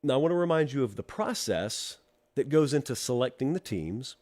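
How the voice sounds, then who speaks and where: A man's voice: medium pitch, expressive, moderate pace, a little mellow. A middle-aged man hosting a live college football talk show, congested from allergies, chatting with wry asides to viewers in the live chat. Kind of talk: live-streamed solo talk show with ad read.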